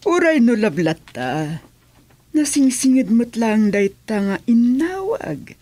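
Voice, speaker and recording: A woman's voice: on the high side, sing-song, takes it slowly, mellow, heavily acted. An actress playing a coquettish, fussy village lady in a radio comedy drama, speaking with an Ilocano accent in an affected way. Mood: coy, flirtatious. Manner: coy, affected, peppered with English phrases.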